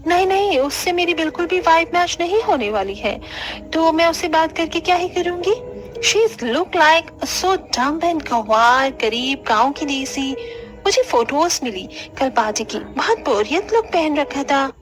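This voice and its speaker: A woman plays a haughty young modern wife in a cartoon drama. She has a very snooty, affected delivery in a high voice, mixing in English, with music behind. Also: animated, a little slow, poor audio. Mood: contemptuous. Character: haughty, rude young modern neighbour's daughter-in-law. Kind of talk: Hindi cartoon family drama.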